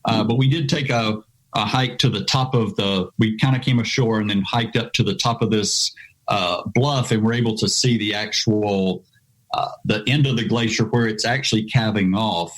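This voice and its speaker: A man's voice: fairly low pitch, steady tone, moderate pace, fairly bright tone, poor audio. An American man, an adventure travel writer, being interviewed on a podcast, rambling with lots of "you know" fillers. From United States.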